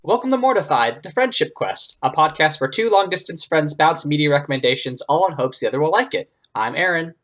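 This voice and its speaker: Animated, low-pitched woman podcast host. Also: brisk, hardly any pauses, faint music behind. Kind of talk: casual comedic podcast chat.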